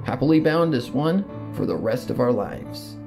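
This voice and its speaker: A man's voice: mid-range voice, lively intonation, normal speed, creaky. Male narrator for dramatic fan fiction, mid-range voice, music bed underneath. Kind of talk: scripted dramatic story narration in first person. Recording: guitar music behind the voice. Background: guitar and plucked string music.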